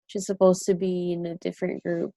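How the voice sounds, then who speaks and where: A woman's voice: fairly low pitch, flat delivery, fairly quick, mellow, no echo. Woman in a study group call, short questions, dry sound. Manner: brief, questioning replies. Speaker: student in group meeting. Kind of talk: casual video call chat. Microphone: video call.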